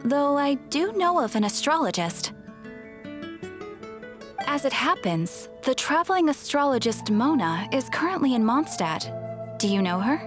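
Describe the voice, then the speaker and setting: Polite receptionist girl, high lilting voice, formal and helpful, music behind. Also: sing-song, a little slow. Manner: courteous, formal service talk. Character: polite, helpful young female guild receptionist. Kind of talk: anime-style video game acting.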